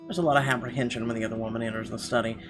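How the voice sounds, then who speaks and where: A man's voice: medium pitch, fairly even intonation, rapid-fire. A man hosting a gaming video, reading a visual novel's narration aloud. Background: game music.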